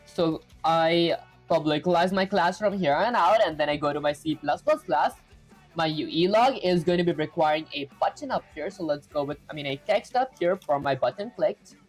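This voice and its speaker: A woman streaming herself programming, chatting casually and thinking out loud with lots of "like" fillers. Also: low voice, moderate pace, soft background music. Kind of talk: casual live coding stream.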